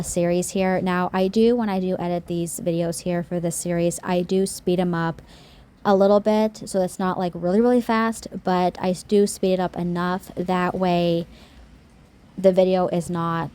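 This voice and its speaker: A woman's voice: lowish voice, fairly even intonation, normal speed, dark tone, smooth. Chatty woman with a scratchy throat. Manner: chatty, rambling, many restarts. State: slightly scratchy, sore throat. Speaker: nail art YouTuber. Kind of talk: casual voiceover chat.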